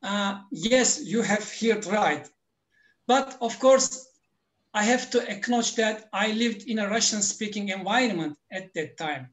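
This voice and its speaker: A man, a language teacher speaking deliberate non-native English as he explains his views as an interview guest. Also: high voice, a bit bright.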